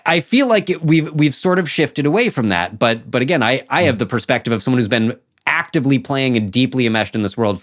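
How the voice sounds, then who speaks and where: Halting, conversational male podcast host. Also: medium pitch. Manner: halting, circling toward his point, frequent agreeing interjections. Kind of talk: casual interview chat.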